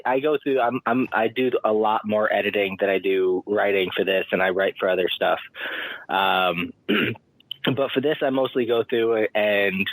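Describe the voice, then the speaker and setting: A man talking about his editing work on a podcast, measured and hesitant and full of fillers. His voice runs low for a man, and the sound is close and dry. He keeps an even, explanatory tone. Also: no room sound, poor audio. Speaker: script editor and writer. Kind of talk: casual interview chat.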